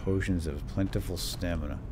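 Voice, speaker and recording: A man's voice: low-pitched, fairly even intonation, a little fast. A man giving lightly role-played commentary on a video game, muttering to himself with playful asides. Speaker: gamer commentator. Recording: game ambience noise behind voice. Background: video game cave ambience and effects.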